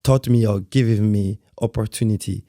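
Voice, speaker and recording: A man in his thirties from France with a French accent, a dance guest speaking in a soft, halting way and often repeating words as he searches for phrasing. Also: fairly low pitch, steady tone. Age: 30s. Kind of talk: interview conversation.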